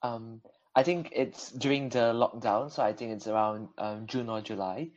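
A man's voice: mid-range voice, steady tone, normal speed, highs cut off. A young man from Malaysia, a student panelist answering hesitantly with many fillers in a webinar. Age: young adult. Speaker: panelist, final-year law student.

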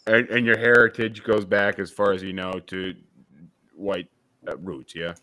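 Deep-voiced tipsy man, halting talk. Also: little pitch movement, bright, forceful tone, dry recording. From New York State, USA. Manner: halting, many restarts and fillers. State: several drinks in. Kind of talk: casual podcast discussion.

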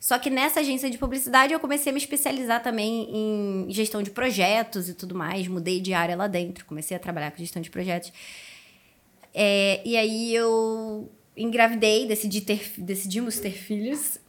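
A woman in her thirties with a Carioca Brazilian accent, telling her story casually as an interview guest, chatty and colloquial, quick to laugh. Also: mid-range voice, fairly even intonation, fairly bright tone. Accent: Carioca Brazilian Portuguese. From Rio de Janeiro, Brazil. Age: 30s. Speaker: podcast guest, educator and speaker.

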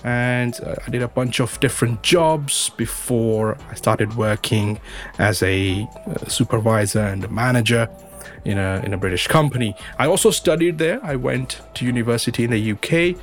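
A man's voice: mid-range voice, moderate pace, faint music behind. A man from western India, an English teacher, talking casually as an interview guest and often rephrasing himself. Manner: rambling, repeats and rephrases himself.

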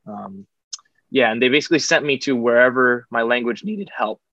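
A man's voice: mid-range voice, normal speed, a bit bright, dry recording, muffled recording. A young man from Taiwan, a podcast guest chatting casually and joking, with many fillers and restarts. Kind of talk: casual interview chat.

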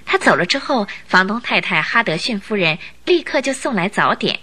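A woman's voice: medium pitch, big pitch swings, normal speed, sharp tone. A woman narrating a radio drama in a dramatic, scripted storytelling style.

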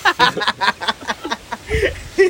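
A man's voice: extremely high pitch, big pitch swings, drawn-out words, gravelly, background noise. A young man hosting a casual podcast chat, crude and joking, laughing a lot. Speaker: podcast host.

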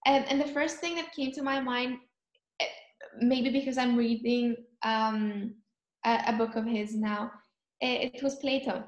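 A young woman from Cyprus, chatting in an interview and often pausing to search for words. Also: on the high side, fairly even intonation, reverberant, dull audio. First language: Greek. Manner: thoughtful, searching for words, frequent ums. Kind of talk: casual chat.

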